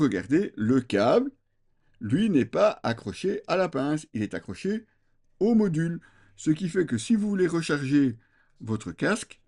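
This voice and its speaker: Mellow-voiced man reviewing gear. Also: medium pitch. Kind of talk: unscripted product review, presenting to viewers.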